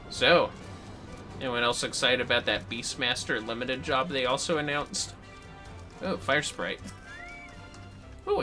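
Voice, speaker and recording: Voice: medium pitch, bright, forceful tone. A game streamer giving casual commentary on play and replying to chat, in a playful, muttering way with some swearing. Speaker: game livestreamer. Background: game music.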